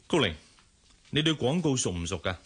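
An actor playing a courteous, hardworking general manager in a radio drama, measured and polite, with a Hong Kong Cantonese accent. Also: male voice, medium pitch, big pitch swings, slightly rough. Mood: businesslike. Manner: measured, polite, familiar with subordinate.

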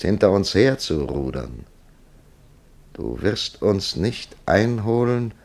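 Casting: pensive author-narrator for radio play, very low register, slow deliberate delivery. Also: male voice, gravelly, a little mellow, heavily acted. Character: thoughtful male writer narrating his own puzzling encounter. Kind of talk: German radio drama acting.

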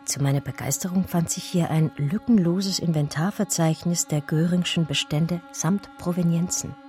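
Actress as a low, mellow-voiced woman art historian. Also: fairly even intonation, moderate pace, soft background music, natural voice. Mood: determined. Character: female art historian recounting her discovery in first person. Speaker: actor in radio drama. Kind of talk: dramatic first-person radio play narration.

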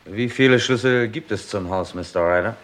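A man's voice: lowish voice, normal speed, a bit bright, natural voice. An actor playing a Scotland Yard chief in a radio crime drama, terse, businesslike and sceptical in his questioning. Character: brisk, probing Scotland Yard police chief.

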